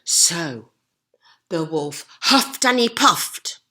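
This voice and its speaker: A woman's voice: on the low side, lively intonation, extremely slow, pushed and bright. A woman storyteller reading expressively to young children, with chatty questions and asides to them. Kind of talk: animated storybook narration with asides to listeners.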